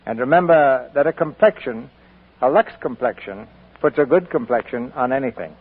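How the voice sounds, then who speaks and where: A man narrating and announcing a radio drama in a smooth, formal style. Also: medium pitch, normal speed. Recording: tinny old radio broadcast, faint music.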